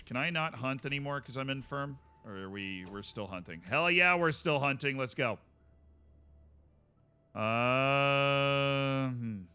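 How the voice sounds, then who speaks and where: A man's voice: medium pitch, sharp tone, clear, resonant tone. A man streaming a strategy game, chatting casually with wry asides to himself.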